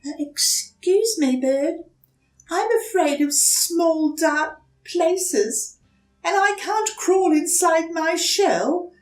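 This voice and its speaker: A woman's voice: very high-pitched, animated, drawn-out words, faint music behind, pitched above the performer's own voice, exaggerated voice. A woman voicing a timid, polite young turtle boy in a children's storybook, with a hesitant, pleading manner. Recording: roomy echo, distant mic.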